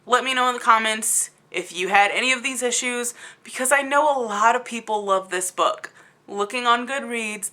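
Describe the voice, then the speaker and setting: Female book vlogger, high and forceful voice, incredulous and emphatic, casual rambling delivery. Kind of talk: casual rambling video review.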